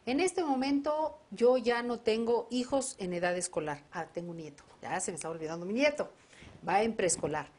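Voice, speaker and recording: A woman's voice: on the high side, moderate pace, a little mellow. A Mexican woman in her fifties with a Mexican Spanish accent, hosting a televised civic discussion and speaking straight to viewers. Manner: conversational, addresses viewers directly. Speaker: host.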